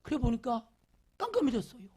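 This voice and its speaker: A male pastor preaching a Sunday sermon, leading the congregation in reading and repeating rhetorical questions, swinging from loud emphasis to near whispers. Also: extremely high pitch, sing-song, brisk, breathy edge. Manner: leads the congregation in call-and-response reading.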